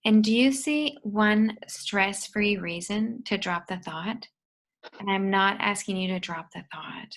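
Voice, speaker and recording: Slow, gentle woman facilitator. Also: medium pitch. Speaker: facilitator guiding the inquiry. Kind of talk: slow guided questioning.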